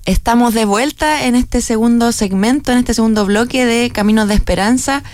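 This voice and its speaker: A woman hosting a radio interview, talking in a measured way with many fillers and tag questions. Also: a little high, music in the background. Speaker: radio host.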